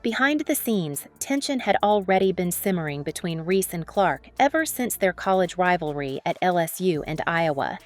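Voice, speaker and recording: A woman's voice: on the low side, animated, normal speed, few pauses, soft background music. A woman delivering a dramatic, scripted sports news narration.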